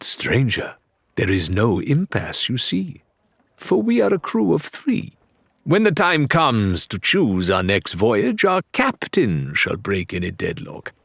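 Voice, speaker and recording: A man voicing a suave, courteous, teasing male pirate with unhurried, theatrical phrasing in an audiobook. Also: mid-range voice, vocal fry, fairly bright tone, slightly rough, no room sound, full character voice. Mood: smooth, regretful. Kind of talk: audiobook character acting.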